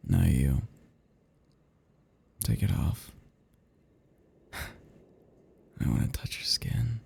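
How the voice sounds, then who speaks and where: A man performs a brooding immortal vampire in an intimate ASMR roleplay. The voice is very mellow and slow, full of deep creak, slipping into breathy whispers with a seductive, possessive menace. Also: heavily acted. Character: seductive, melancholy, possessive male vampire, self-loathing immortal predator.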